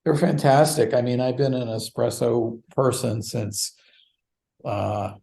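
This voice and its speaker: A man's voice: medium pitch, a little slow, vocal fry, slightly rough. A middle-aged man co-hosting a casual podcast, rambling with frequent fillers and laughs. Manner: rambling, warm, laughs between words. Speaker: podcast co-host.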